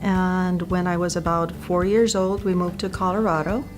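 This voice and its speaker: A middle-aged woman from Puerto Rico, a physician, talking about her career in a thoughtful, measured way with small pauses. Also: fairly low pitch, flat delivery. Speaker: infectious disease physician. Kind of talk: profile interview monologue. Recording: clear music bed under the voice.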